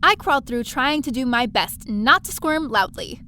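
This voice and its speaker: Woman acting plucky adventurer heroine, high voice, wry, breezy, audio drama narration. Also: animated, normal speed, sharp tone, a little hiss or hum. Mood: wry, adventurous. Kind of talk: first-person scripted narration, audio drama.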